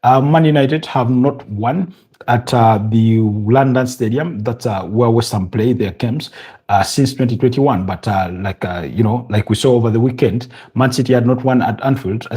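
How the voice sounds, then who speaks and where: A man from Uganda hosting a casual live football preview, talking haltingly with many fillers and restarts and chatting with his viewers. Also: mid-range voice, mellow, husky. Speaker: football podcast host.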